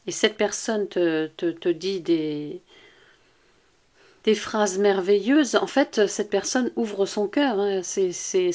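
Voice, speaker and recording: A woman giving an informal relationship guidance reading as an intuitive coach, speaking straight to the listener in a halting, repetitive, reassuring way that searches for words. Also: fairly low pitch, a little mellow.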